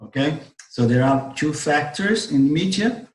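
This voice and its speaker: Slow, hoarse man teaching online. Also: fairly high pitch, animated, reverberant. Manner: halting, restarts phrases, repeats words while explaining. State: strained voice, clears throat often. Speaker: Vedanta teacher. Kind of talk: online class lecture. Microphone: computer mic on a video call.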